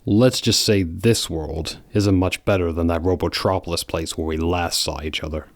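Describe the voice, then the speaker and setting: A man's voice: low voice, steady tone, moderate pace, no room sound, studio quality. A man playing a brooding, protective cartoon hedgehog antihero in an audio drama, terse and grave. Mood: brooding, bitter. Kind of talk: fan-made audio drama acting.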